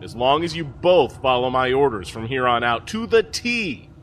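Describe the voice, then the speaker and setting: Casting: male actor as seasoned space captain, stern and sardonic, forceful bright voice, faint noise behind, sci-fi audio drama. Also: mid-range voice, expressive, normal speed, lightly acted. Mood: stern, threatening. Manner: mocking, folksy, commanding.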